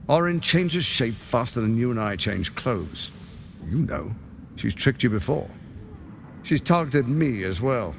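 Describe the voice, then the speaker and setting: An actor playing a suave, scheming tyrant lord in a video game, speaking in a silky, pompous, measured and persuasive way. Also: male voice, medium pitch, big pitch swings, gravelly, slight background noise. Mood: warning. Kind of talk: theatrical video game cutscene acting.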